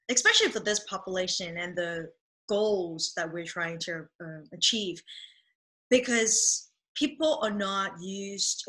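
A woman in her thirties whose first language is Cantonese, a dance therapist answering interview questions over a video call in a thoughtful, halting way. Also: medium pitch, pushed and bright. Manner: thoughtful, lots of ums and restarts, laughs easily. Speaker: dance movement therapist, guest. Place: small room at home. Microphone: video call webcam mic.

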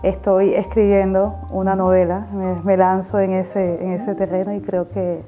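A woman's voice: mid-range voice, flat delivery, measured pace, narrow, tinny audio, soft background music. A woman in her thirties with a Caribbean Colombian accent, chatting casually as a guest writer in an interview. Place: small TV studio set, indoors.

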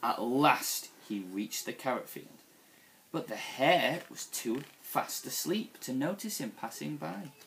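A young man reading a picture book aloud to children in an expressive, deliberate storytelling style. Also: mid-range voice, vocal fry, a little hiss or hum, rough recording. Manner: animated, deliberate storytelling delivery. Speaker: school staff member reading to young children.

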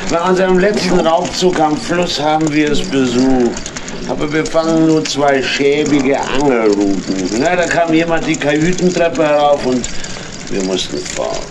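An actor playing a sly, menacing, imperious cat pirate captain in a children's radio play. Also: male voice, on the high side, animated, normal speed. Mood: pondering. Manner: imperious, impatient, gloating. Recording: noisy old audio drama record.

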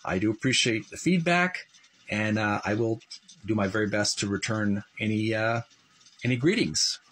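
A middle-aged man with a slightly rough, husky voice, hosting a video channel in a deliberate, emphatic way as he reads aloud and talks to viewers. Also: lowish voice, fairly bright tone, slight background noise. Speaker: host of a video channel reading a book aloud. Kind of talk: reading aloud and chatting to viewers.

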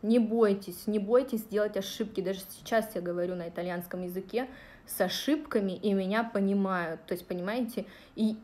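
A woman in her thirties from Russia talks straight to her viewers during a livestream. Her delivery is chatty and direct, peppered with fillers, and her pitch sits in a middle range for a woman. She is recorded on a phone held at arm's length in a small indoor room. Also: a little fast, darkish tone. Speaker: vlogger answering viewer questions. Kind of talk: casual livestream talk.

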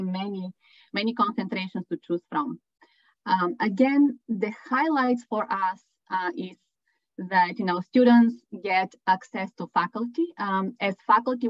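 Lady prof explaining her program, earnest, muffled call sound. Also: medium pitch. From Bulgaria. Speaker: engineering professor. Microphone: video call. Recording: dull video call audio.

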